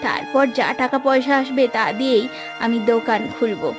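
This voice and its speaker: Woman voicing an earnest, soft-spoken village wife. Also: a little high, moderate pace, over music, pitched below the performer's own voice, lightly acted. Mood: determined. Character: hardworking, hopeful, determined young village housewife and maid.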